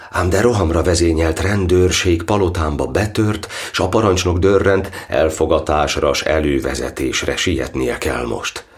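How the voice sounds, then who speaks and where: Deep-voiced, solemn persecuted cardinal. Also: male voice. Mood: grave. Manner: declamatory, elevated, measured verse delivery. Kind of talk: theatrical verse monodrama for radio.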